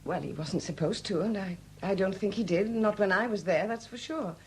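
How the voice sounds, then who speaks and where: An actress plays a flustered, proud widowed sculptress in a seventies radio play. She speaks at a middle pitch, halting and repeating her words, candid and tired. The old recording carries a faint noise. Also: a little mellow, lightly acted. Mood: halting, wistful. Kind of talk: 1970s BBC radio drama acting.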